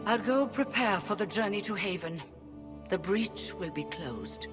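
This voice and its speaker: A woman playing a proud but defeated leader of mages in a video game, grave and measured. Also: medium pitch, animated, lightly acted. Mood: resigned resolve. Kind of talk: video game cutscene acting. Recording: reverberant hall with music behind.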